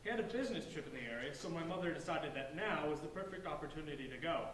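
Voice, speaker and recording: A man's voice: on the high side, lively intonation, quick pace, fairly bright tone. A man playing a polite, bookish fifteen-year-old outsider with an earnest, wordy manner in a staged play reading. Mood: polite, awkward. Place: large echoey indoor room, distant microphone. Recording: echoey room from far off, faint noise.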